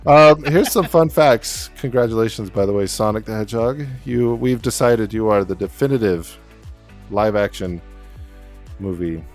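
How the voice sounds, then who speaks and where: A man hosting a casual podcast chat in a relaxed way. He chuckles often and reads figures off his notes. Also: on the low side, normal speed, soft background music. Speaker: podcast host.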